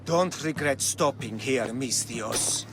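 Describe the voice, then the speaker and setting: An actor playing a stern, fanatical priest, solemn and ominous, in a dramatic game cutscene. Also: male voice, mid-range voice, takes it slowly, music in the background, close to an everyday voice. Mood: ominous warning. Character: stern, fanatical male priest, grimly resolved.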